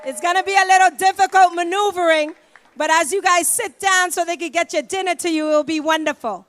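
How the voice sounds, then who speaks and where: Female emcee from Boston, Haitian Creole first language, very high and upbeat. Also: fairly even intonation, fairly quick, faint music behind. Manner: upbeat, rallies the room with "give it up". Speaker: master of ceremonies. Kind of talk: gala hosting. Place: hotel banquet hall.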